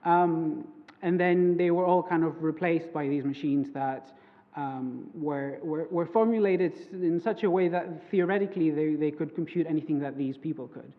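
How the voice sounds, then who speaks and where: A young man, a native Greek speaker, presents his research at a conference, hesitant and full of fillers and restarts. His voice sits fairly high for a man. The sound is thin and phone-like, with a long reverb trailing off the walls of a lecture hall. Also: moderate pace. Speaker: conference speaker, PhD student. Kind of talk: academic conference talk.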